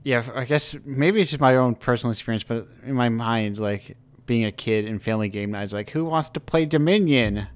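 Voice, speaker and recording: A man's voice: medium pitch, fairly quick, few pauses, clean tone. A young man hosting a podcast, chatting casually over a call and asking loose, rambling questions. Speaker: podcast host.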